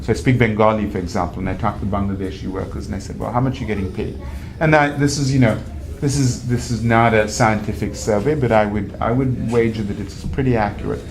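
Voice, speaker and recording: A man's voice: on the low side, steady tone, fast, creaky. A man speaking on a panel, conversational and anecdotal, often restarting his sentences. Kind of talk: panel talk. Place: event venue. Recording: noisy live event recording.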